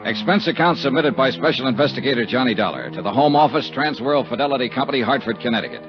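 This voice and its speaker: A man's voice: medium pitch, animated, fast talker, music in the background, lightly acted. A man acting as a wry, hard-boiled insurance investigator in a 1950s radio drama, with clipped wisecracking delivery. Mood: dry narration. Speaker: lead actor and narrator of a radio detective serial. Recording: dull 1950s radio recording.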